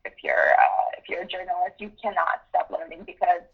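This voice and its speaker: A woman in her twenties, from Ukraine, answering interview questions with many pauses and restarts. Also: on the low side, wildly swooping pitch, vocal fry, breathy edge, phone-quality sound. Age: 20s. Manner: thoughtful, with many ums and restarts. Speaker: guest journalist.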